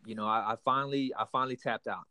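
Guest: young man from Texas, earnest, halting, mid pitch, dry close sound. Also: fairly even intonation, pushed and bright, smooth, no room sound. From United States, raised in Houston, Texas. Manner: earnest, halting with many fillers and restarts. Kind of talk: personal testimony in an interview.

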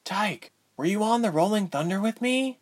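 A man's voice: high-pitched, expressive, moderate pace, pitched above the performer's own voice. A man voicing a captive young woman in an audiobook story, earnest and bitter, with a dry, incredulous manner. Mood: puzzled, anxious.

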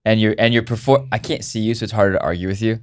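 A man's voice: fairly low pitch, racing. A man hosting a casual panel talk, cutting in and directing who speaks. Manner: interjecting, directive. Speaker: host moderating the discussion.

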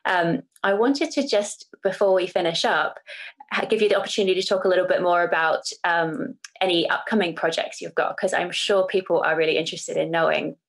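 Low creaky-voiced New woman. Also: steady tone, brisk. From New Zealand. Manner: thoughtful, hesitant with fillers. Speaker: interviewer. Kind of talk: casual interview chat.

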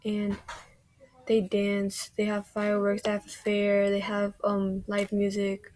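A woman's voice: mid-range voice, completely flat delivery, unhurried, a little mellow, no echo. A young woman hosting a podcast, recapping an interview in a chatty, casual way with many fillers and some whispery stretches. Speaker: podcast host.

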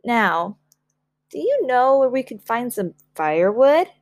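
A woman's voice: on the high side, very animated, unhurried, no echo, clean studio sound. Woman as a kind, reassuring storybook dad. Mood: cheerful. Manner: comforting, encouraging. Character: kind, reassuring father of a young girl.

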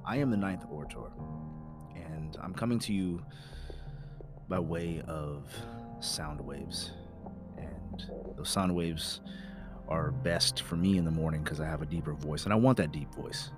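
A man's voice: rumbling low, unhurried, pauses often, over loud music. A man in his thirties hosting a solo podcast, talking in a rambling, candid, profane way with lots of filler. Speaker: podcast host. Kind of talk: casual solo podcast monologue.

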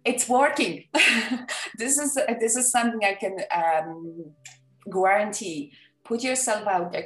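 A woman's voice: fairly low pitch, distant mic. A woman from Poland with a Polish accent, a wedding photographer chatting enthusiastically as a podcast guest, with many fillers and restarts. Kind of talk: casual interview chat. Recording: echoey room, off the mic, faint music.

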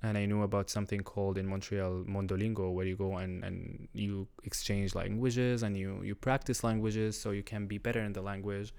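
A young man from Syria, his voice sitting on the lower side and full of gravelly fry. He tells his story in a relaxed chat as a guest, often pausing to find the right English word and breaking into laughter. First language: Arabic. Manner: halting, searching for words, laughs often.

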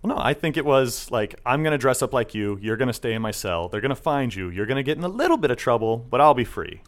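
A man's voice: mid-range voice, big pitch swings, quick pace. A young man hosting a casual podcast chat, joking and often slipping into silly put-on voices. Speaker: podcast host.

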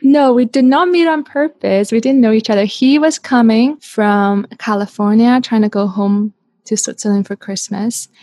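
A woman in her thirties from Canada, a guest telling a story in a casual interview, chatty and animated with lots of "like". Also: fairly high pitch, normal speed, dry recording.

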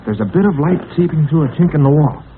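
A man's voice: fairly high pitch, lively intonation, lightly acted. A man playing a bold young adventure hero. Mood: hushed. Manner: brisk and take-charge. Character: brave teenage boy adventurer, leader. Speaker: radio serial actor. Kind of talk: 1940s radio acting. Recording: tinny, hissy old radio transcription.

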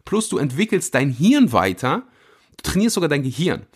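A man hosting his podcast, introducing the episode in an energetic, casual way. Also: on the high side, breathy edge. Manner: energetic, enthusiastic, speeds up and slows for emphasis. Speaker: podcast host.

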